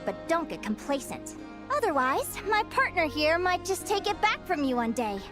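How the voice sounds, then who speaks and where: A young woman voice actor playing a spirited, optimistic female adventurer in a video game, earnest, upbeat and expressive. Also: extremely high pitch, moderate pace. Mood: cheerful, eager. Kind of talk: English video game voice acting. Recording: background music under the dialogue.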